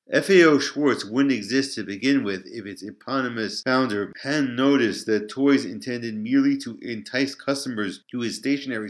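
A man's voice: medium pitch, a bit bright. A man reading his own written article as a scripted narration.

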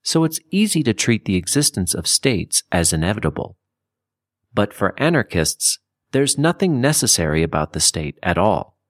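A man reading scripted nonfiction for an audiobook, with deliberate phrasing. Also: on the low side, very animated, no room sound, studio quality. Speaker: audiobook narrator.

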